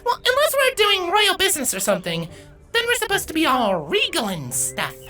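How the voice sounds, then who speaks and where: A very high, girlish young changeling princess for a storybook reading. She is earnest and polite but easily huffy. Her tone is bright and swings widely, with music behind her. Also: huge pitch swings, fairly quick, full character voice. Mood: tentative.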